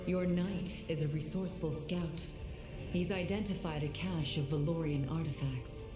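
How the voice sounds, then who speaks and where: A woman plays a calm, ancient-sounding female spirit guide in a fantasy game. Her voice is notably low and wrapped in roomy reverb over background music. She instructs with measured composure. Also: close to an everyday voice. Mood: composed, caring. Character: wise, calm female living spirit housed in armor.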